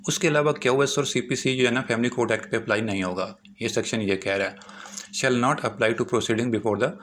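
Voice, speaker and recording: A Pakistani man speaks as a law lecturer at a middling pitch. He explains informally and repeats his points, moving between reading clauses and plain explanation. There is faint noise behind his voice. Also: a bit bright. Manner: repetitive explaining, slows down to read out English legal clauses.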